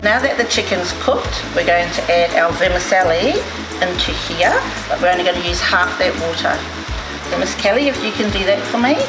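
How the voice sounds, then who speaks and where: A woman's voice: lowish voice, fairly quick. A middle-aged woman from New Zealand giving a cheerful, encouraging cooking demonstration in a kitchen. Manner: upbeat, encouraging, talks while cooking. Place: kitchen indoors. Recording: echoey kitchen with loud music bed.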